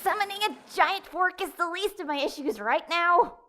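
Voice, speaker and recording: A woman's voice: very high voice, moderate pace, pushed and bright. A woman acting a frightened but sarcastic young heroine in an audio drama. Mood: exasperated. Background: faint music.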